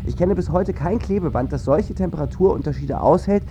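A man's voice: fairly high pitch, brisk, gravelly, mellow, slight background noise. A man acting in a scripted radio drama, playing someone who reminisces about space and video games. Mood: musing.

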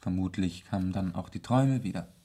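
A mellow, low and slightly rough-edged man, educated and composed. He puts measured, probing questions in a seventies German radio play. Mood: inquiring. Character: educated, attentive male listener, probing and composed. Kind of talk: 1970s German radio drama acting.

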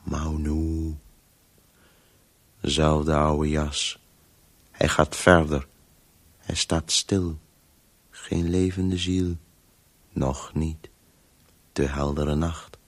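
Very deep, weary storytelling voice. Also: male voice, extremely slow, pauses often, exaggerated voice. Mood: bleak, narrating. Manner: fragmented, terse, monotone, urging. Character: weary, driven inner voice of a man compulsively storytelling. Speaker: radio drama actor. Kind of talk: Dutch radio play monologue.

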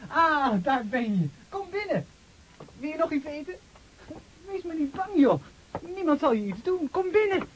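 A man acting an eager young army officer in a radio drama, stammering with breathless excitement. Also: extremely high pitch, moderate pace. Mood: friendly, eager. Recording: noisy old radio play recording.

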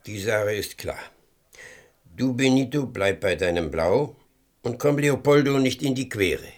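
An actor playing a stern village arbiter in a radio drama, gravelly and husky, terse and commanding. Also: male voice, medium pitch, animated, measured pace, natural voice. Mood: stern, decisive. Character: stern village arbiter settling a quarrel, authoritative man.

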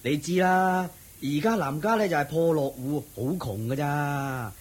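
An actor playing a harried, sympathetic neighbour in a Cantonese radio drama, confiding with sighs in a husky Hong Kong Cantonese voice. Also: male voice, fairly high pitch, measured pace. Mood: pitying, confiding. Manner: sighing, confiding, expressive. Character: harried, gossipy, sympathetic village neighbour man.

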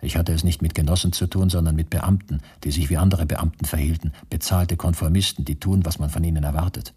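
Radio drama role: weary, bitter male political prisoner, dark husky low voice, measured and brooding. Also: steady tone, exaggerated voice. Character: weary, disillusioned concentration camp prisoner, communist, writing to his beloved.

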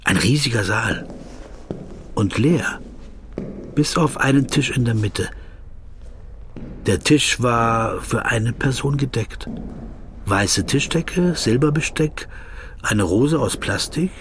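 A man's voice: medium pitch, expressive, takes it slowly, pauses often, a little hiss or hum. An actor playing a stocky, fussy legal counsel who recounts a surreal trip in literary first person, savoring his words. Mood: wry, musing. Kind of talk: literary first-person narration in a radio play.

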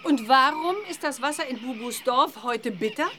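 High-voiced sensible witch mother. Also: normal speed, bright, forceful tone, slight background noise. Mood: puzzled. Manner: firm, practical. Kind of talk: children's audio drama acting.

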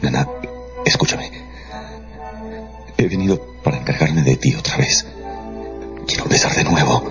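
An actor playing an insistent, theatrical gentleman in a radio drama. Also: very deep voice, unhurried, creaky, heavily acted. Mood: ardent, pleading. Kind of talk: Spanish-language radio drama acting. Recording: dull radio drama with music behind.

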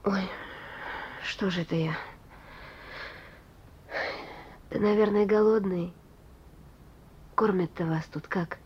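A woman's voice: medium pitch, fairly even intonation, unhurried, a little mellow, slightly rough. An actress playing a devoted, plain-spoken young working woman fretting over her sweetheart, folksy and lamenting, on a muffled radio play recording. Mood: soft, caring. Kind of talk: Russian radio play acting.

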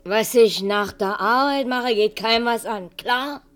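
An actress playing a lonely, defiant, hard-drinking secretary in a radio play, blunt and challenging in manner. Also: female voice, a little high, unhurried, close to an everyday voice.

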